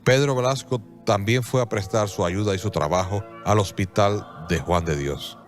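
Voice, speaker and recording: Guy narrating a radio drama, lowish voice, solemn storytelling w dramatic pauses, music behind.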